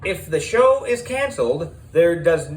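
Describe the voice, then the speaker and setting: Man voicing a moaning, high-pitched woman teacher. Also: very animated, measured pace, roomy sound. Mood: dismayed, moaning.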